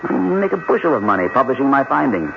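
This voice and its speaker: Eager astronaut, muffled noisy recording. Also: male voice, mid-range voice, big pitch swings, fast, creaky. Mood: confident, speculative. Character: eager, homesick astronaut scientist, family man. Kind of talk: old-time radio drama acting. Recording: muffled, very noisy vintage radio broadcast.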